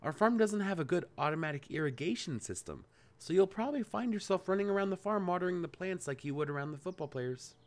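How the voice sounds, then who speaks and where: A man's voice: sits high, animated, quick pace, voice pushed up. A man voicing a practical, hardworking young woman from a farm, speaking in a matter-of-fact way.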